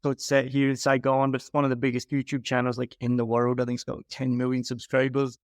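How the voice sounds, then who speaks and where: Man, podcast consultant, frank and advising, mid pitch, dry close sound. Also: monotone, quick pace, no echo. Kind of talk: casual critique.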